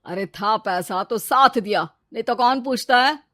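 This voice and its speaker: A woman's voice: a little high, measured pace, a bit bright, no echo, studio quality. A woman voicing an arrogant, fickle husband in a cartoon story, brash and selfish. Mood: scornful. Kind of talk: Hindi cartoon story dialogue.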